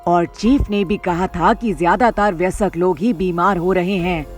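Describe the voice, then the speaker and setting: A woman's voice: lowish voice, unhurried, soft background music, voice pushed down, close to an everyday voice. A woman voicing a serious male investigator who reasons step by step in a story. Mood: reasoning. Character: serious, deductive male investigator, urgent and decisive.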